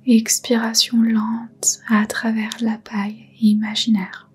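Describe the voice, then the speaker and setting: Slow whispering woman guiding meditation. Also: fairly high pitch, monotone. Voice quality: breathy, whispery. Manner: soothing, unhurried, gentle. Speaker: yoga nidra guide. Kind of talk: guided meditation, soft whispered narration.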